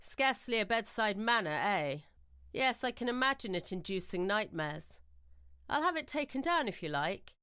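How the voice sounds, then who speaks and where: A woman playing a bluff, jocular English gentleman host with brisk, old-fashioned phrasing, in an audio drama. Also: mid-range voice, big pitch swings, clear, resonant tone, no echo, studio quality, pitched above the performer's own voice. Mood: jovial, bluff.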